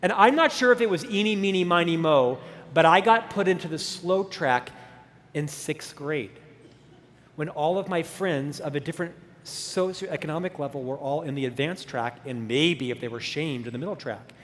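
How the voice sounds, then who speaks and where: A man in his fifties with a stutter and a high voice tells his own story at a conference, animated and self-deprecating. His words ring out with a long hall reverb from a big stage. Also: moderate pace, slight background noise. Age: 50s. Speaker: keynote speaker. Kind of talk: motivational conference talk.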